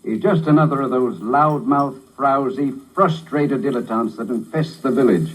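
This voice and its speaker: An actor playing a scornful, exasperated art critic in a 1950s radio drama, with emphatic, disdainful delivery. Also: male voice, mid-range voice, lightly acted, poor audio. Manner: emphatic, disdainful, drawn-out words.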